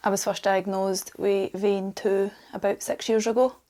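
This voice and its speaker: A woman in her mid-thirties, calmly explaining her own treatment history. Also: mid-range voice, normal speed. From Scotland. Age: 30s. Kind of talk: personal testimony.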